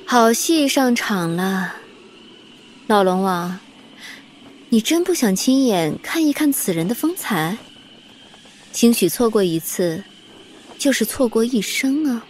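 A woman's voice: fairly high pitch, big pitch swings, takes it slowly, long pauses, a little hiss or hum. A woman playing a bold, playful young princess in an audio drama, teasing and breezy. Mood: playful, defiant.

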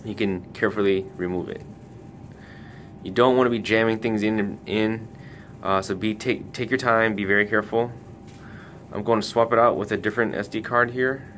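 Low-voiced man giving a casual tutorial. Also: fairly even intonation, normal speed, a bit bright. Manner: instructional, step-by-step, cautioning. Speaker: tutorial host for a retailer. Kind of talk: casual hands-on product tutorial. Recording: noticeable background noise with low rumble.

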